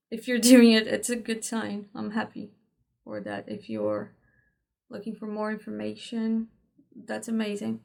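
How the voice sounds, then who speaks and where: Woman, Portuguese native speaker, easygoing teacher tone, slightly high with gravelly fry. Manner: relaxed, chatty, frequent "right?" check-ins and light laughs. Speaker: energy work teacher. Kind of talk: casual instructional talk to camera.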